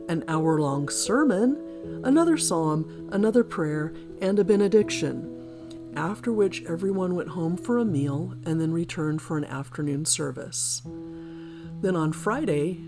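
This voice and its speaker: Low-voiced woman narrating over guitar music. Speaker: presenter. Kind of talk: scripted narration. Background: plucked guitar music.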